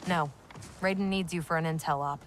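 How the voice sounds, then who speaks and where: A brash young soldier voiced by a woman with a lowish voice, brisk and commanding. She sounds like game cutscene dialogue. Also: steady tone, slight background noise, lightly acted. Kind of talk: video game cutscene acting.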